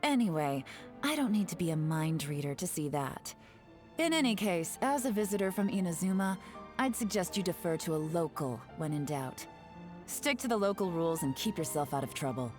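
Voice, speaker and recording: A woman's voice: lowish voice, lively intonation, moderate pace, darkish tone, soft background music, natural voice. A woman voicing a cool, self-assured female agent in a video game, composed and dry with a subtle menace. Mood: dry, threatening.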